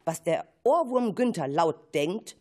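A woman's voice: lowish voice, expressive, unhurried, mellow. A woman narrating a children's story expressively, with playful asides.

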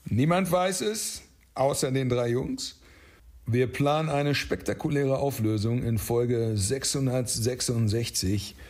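A man's voice: mid-range voice, slow pace, a little mellow. A man answering interview questions conversationally as the creator of an audio drama project.

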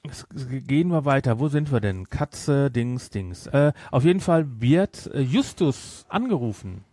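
A man's voice: medium pitch, expressive, unhurried, dark tone, dry recording, studio quality. A middle-aged man chatting casually and jokingly as a podcast co-host, rambling as he goes. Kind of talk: casual unscripted podcast chat.

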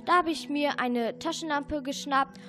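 A woman's voice: sits high, unhurried, over music. A young female performer playing an indignant, earnest girl defending herself in a children's radio play. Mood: indignant, defensive.

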